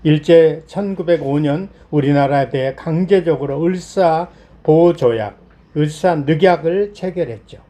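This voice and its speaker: A middle-aged man from South Korea preaching a Sunday sermon as a pastor, explaining point by point in a voice slightly hoarse from a cold. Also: a little high, measured pace, mellow.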